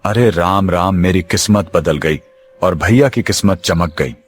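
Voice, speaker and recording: A man playing a greedy, excitable younger brother in a village cartoon story, talking in a hurried, agitated way. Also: sits low, faint music behind. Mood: agitated, demanding. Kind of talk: Hindi cartoon drama acting.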